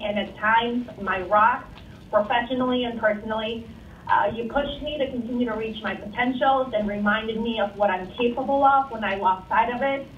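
A woman's voice: fairly high pitch, a bit bright, far from the mic, slight background noise. A woman with a Filipino accent reading an earnest, emotional farewell to a mentor over a video call. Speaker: coworker giving a tribute. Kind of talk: prepared farewell speech. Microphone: video call on room speakers. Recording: muffled call speaker, echoey room.

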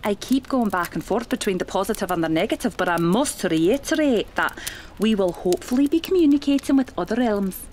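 A woman playing a seasoned, genteel spiritualist medium in a radio play, speaking in a measured, dramatic way. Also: mid-range voice, expressive, a little mellow, a little hiss or hum, lightly acted. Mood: spirited.

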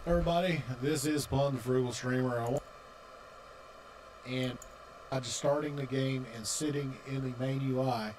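A man with a medium-pitched voice explains things as a tutorial presenter. There is clear background noise in the recording. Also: fairly even intonation, normal speed, pauses often, darkish tone.

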